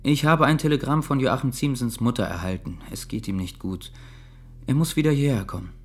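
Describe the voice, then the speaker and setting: Radio drama casting: male actor as courteous young bourgeois, mid-pitched, measured and gently ironic. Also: soft background music, lightly acted. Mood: polite, matter-of-fact. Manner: measured, ironic, politely formal. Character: polite, thoughtful young bourgeois sanatorium patient. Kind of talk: German radio play acting.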